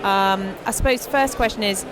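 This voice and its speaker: Female podcast interviewer, mid-pitched, casual on-location questioning, with a noisy conference venue behind her. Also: moderate pace. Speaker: podcast host interviewing guests. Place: busy tech conference venue. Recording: noisy event floor, constant background din.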